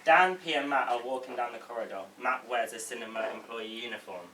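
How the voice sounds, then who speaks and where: A man's voice: medium pitch, brisk, pushed and bright. A man reading stage directions as scripted narration at a table read. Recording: echoey room, distant mic, faint noise.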